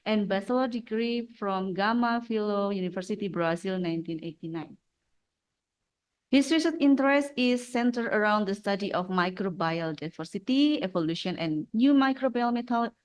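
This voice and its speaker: A woman's voice: medium pitch, darkish tone. A woman moderating a seminar in a hall, reading a speaker's bio aloud and stumbling over technical terms.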